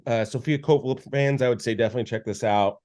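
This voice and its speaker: A man's voice: mid-range voice, fast, darkish tone. A man co-hosting a podcast, giving a casual, rambling film review with lots of restarts and filler words. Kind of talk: casual chat.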